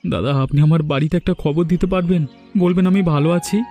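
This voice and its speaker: Man as young cartoon villager, solemn, earnest, mellow tone, highish pitch, music behind. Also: fairly even intonation, fairly quick, natural voice. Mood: pained, earnest. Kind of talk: cartoon story drama.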